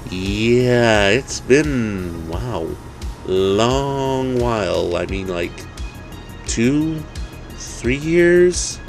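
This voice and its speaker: A man's voice: mid-range voice, lively intonation, drawn-out words, faint music behind, pitched above the performer's own voice. A man playing a cheerful, easygoing fellow in a story, chatting casually and rambling without a care. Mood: upbeat, unbothered. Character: cheerful, unflappable easygoing man, demon's one-night date.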